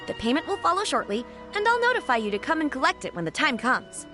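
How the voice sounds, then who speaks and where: A woman's voice: high voice, big pitch swings, fast talker, over music. A woman voicing a polite, cheerful publishing-house clerk in a game, speaking formally to customers. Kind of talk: game cutscene voice acting.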